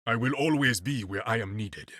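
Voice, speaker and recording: A man's voice: mid-range voice, moderate pace, a bit bright, dry recording, clean studio sound, lightly acted. A man playing a proud, dignified warrior king in a video game, with an African accent and formal, regal phrasing. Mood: proud, solemn. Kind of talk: video game character voice acting.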